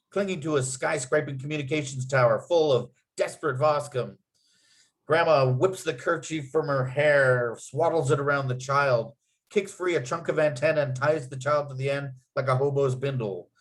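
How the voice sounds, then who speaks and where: A man's voice: mid-range voice, poor audio. A man reading stage directions as narrator at a script table read, in vivid storytelling style.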